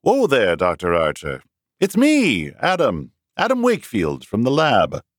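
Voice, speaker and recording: A man's voice: mid-range voice, wildly swooping pitch, a little slow, no echo, studio quality, voice pushed up. A man voicing a confident, well-off young male researcher who talks breezily and a little formally. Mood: breezy. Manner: breezy, talkative, slightly formal. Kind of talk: audiobook character reading.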